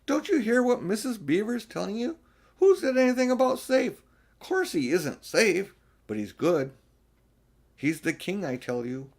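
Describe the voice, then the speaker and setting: A man's voice: high voice, big pitch swings, unhurried, voice pushed up, heavily acted. A man voicing a male talking beaver in a storybook, an earnest, solemn woodland creature with a folksy, emphatic manner. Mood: stern, excited. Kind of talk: storybook character voice.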